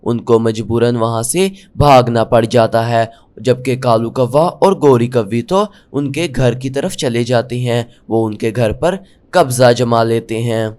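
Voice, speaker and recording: Man, narrator, medium pitch, scripted children's storytelling. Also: fairly even intonation, measured pace, a little mellow.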